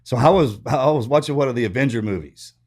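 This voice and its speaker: A man with a Texas accent hosts a casual podcast chat. He rambles, stops and restarts his sentences, and his accent gets heavier as he warms up. His voice sits at an ordinary middle pitch for a man. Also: fast, husky. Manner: gets louder and stronger in accent when excited. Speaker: podcast host.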